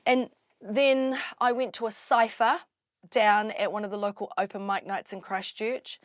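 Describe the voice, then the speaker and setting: A young woman with a New Zealand accent and a low voice, an MC telling her story in a lively, animated way as a podcast guest. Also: measured pace, no echo, studio quality. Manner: lively, animated storyteller who acts out quotes. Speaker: drum and bass MC, guest. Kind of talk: casual interview storytelling.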